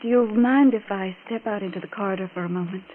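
An actress playing an anxious, placating wife in a 1940s radio melodrama, earnest and pleading in manner. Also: female voice, medium pitch, fast, natural voice. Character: anxious, placating wife hiding her worry. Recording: scratchy, narrow-band 1940s radio recording.

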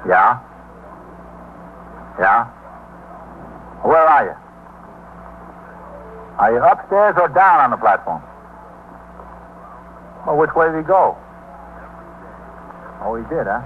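A man's voice: mid-range voice, very animated, slow, pauses often. A man playing a businesslike police desk sergeant on the telephone, terse and questioning, in a 1950s radio drama. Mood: alert, questioning. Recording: tinny, faintly noisy 1950s radio recording.

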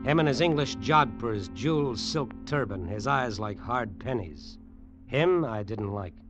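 An actor playing a tough, cynical private detective, wry and clipped, in an old radio drama. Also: male voice, medium pitch, animated, unhurried, natural voice. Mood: cynical narrating. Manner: wry, clipped, hard-boiled. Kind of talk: 1940s-50s radio acting, first-person narration and dialogue. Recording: vintage radio drama with music.

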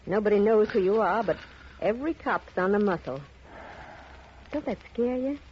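Actress as a cooing gangster's sweetheart, old radio. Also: female voice, mid-range voice, animated, moderate pace, natural voice. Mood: cooing, coaxing. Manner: cooing, fussing, slangy. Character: doting, coaxing tough gangster's sweetheart. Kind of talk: 1950s radio drama acting. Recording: muffled, noisy old radio recording.

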